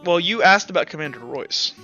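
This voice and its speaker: A man's voice: sits high, animated, brisk, pushed and bright, highs cut off, faint music behind. A man casually running a tabletop roleplaying game as game master.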